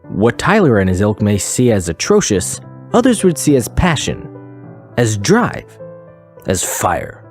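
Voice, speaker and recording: A man playing a smug, preachy radio host in a scripted satirical show, pompous and sardonic with dramatic pauses. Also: lowish voice, huge pitch swings, soft background music, exaggerated voice.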